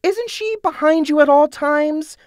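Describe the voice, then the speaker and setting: A man's voice: very high-pitched, unhurried, no echo. A man playing a straight-laced young villain's assistant in a comedy cartoon, dry, sarcastic and exasperated. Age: young adult. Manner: dry, sarcastic, matter-of-fact.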